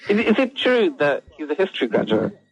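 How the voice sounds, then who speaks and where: A man's voice: fairly high pitch, very animated, a little fast, creaky, slightly rough. A man phoning in to a radio show, chatting casually and asking questions. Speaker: radio phone-in caller. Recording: dull lo-fi radio call.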